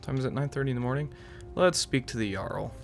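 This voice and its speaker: Wry man with creaky voice, gaming commentary. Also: mid-range voice, quick pace, soft background music. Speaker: gaming YouTuber. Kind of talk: casual roleplay playthrough commentary.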